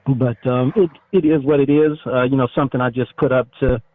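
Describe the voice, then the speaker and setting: A middle-aged American man talks casually over a phone line. The sound is thin and narrow, with very little room on it. His voice sits at an ordinary male pitch and his manner is easygoing and informal. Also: fairly even intonation, fairly quick, no echo. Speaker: interviewed motorcycle YouTuber, retired military. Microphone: phone call.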